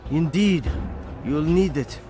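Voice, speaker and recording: A man's voice: fairly high pitch, slow, music in the background. An actor playing a skeptical, fearful townsman in a video game, agitated and dismissive.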